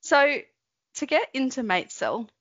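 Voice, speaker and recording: Female podcast host, even scripted delivery, dry and slightly dull sound. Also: high-pitched, a little slow, no echo. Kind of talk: scripted podcast intro and interviewing.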